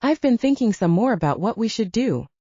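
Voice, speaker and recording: An easygoing young male werewolf from an audiobook romance, played by a woman narrator. He is playful and reassuring, with a soft mellow tone and very mobile pitch, recorded dry and slightly dull. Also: big pitch swings, brisk, slightly rough, no room sound. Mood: earnest.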